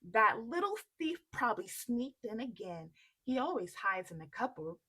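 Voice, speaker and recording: A woman's voice: fairly high pitch, very animated, normal speed, pushed and bright, breathy edge, heavily acted. Woman playing a curt, bossy giant's wife. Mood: dismissive. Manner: curt, dismissive. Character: bossy, unimpressed giant's wife who interrupts. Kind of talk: children's storybook read-aloud.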